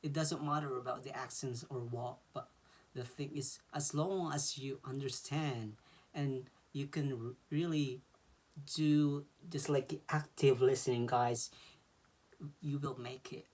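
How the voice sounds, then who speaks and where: A Filipino man in his thirties talks unhurriedly, straight to a camera close by in a small bedroom. His pitch sits in the middle, and he talks loosely, restarting phrases and filling gaps with "uh". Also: measured pace. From Philippines. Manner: relaxed, repeats words and fills with uh. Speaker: vlogger explaining an exam. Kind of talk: casual advice vlog.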